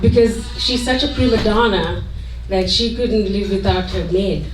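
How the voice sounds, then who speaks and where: A woman in her late forties from Sri Lanka performs a stand-up comedy routine with a halting delivery, leaving pauses after punchlines. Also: mid-range voice. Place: indoor comedy club stage. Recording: roomy, distant mic, noisy club recording.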